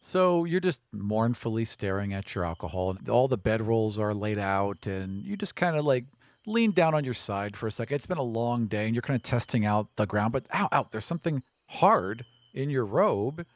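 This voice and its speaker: Low-voiced man running a tabletop game. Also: big pitch swings, moderate pace. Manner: descriptive, conversational. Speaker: game master. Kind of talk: improvised tabletop game narration. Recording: cricket-filled night ambience behind. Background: crickets and night insect ambience.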